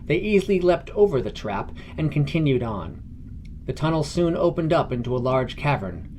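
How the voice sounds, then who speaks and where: A man's voice: fairly high pitch, sing-song, moderate pace, darkish tone, slight background noise. A man reading scripted narration for a fantasy adventure podcast.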